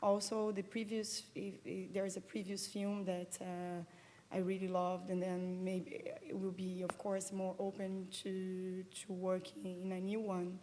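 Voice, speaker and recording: A woman co-producer answers in an earnest, halting way. Her voice is mellow and keeps to a flat, narrow pitch, and a faint hiss sits beneath it. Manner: earnest, halting, restarts often. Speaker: film co-producer. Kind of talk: panel discussion answer.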